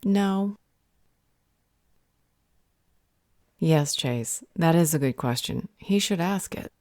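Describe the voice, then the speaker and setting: A stern but protective female vampire healer, acted by a woman for an audio roleplay. Her voice is low and dark, recorded close and dry, and she speaks with measured, earnest firmness. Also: lively intonation, dry recording. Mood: firm, guarded.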